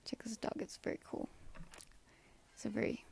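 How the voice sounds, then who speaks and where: A woman's voice: moderate pace, darkish tone, whispered. A woman live streamer chatting casually with her viewers in a soothing voice, playfully answering comments and firmly saying no. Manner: chatty and playful, breaking into firm repeated no's.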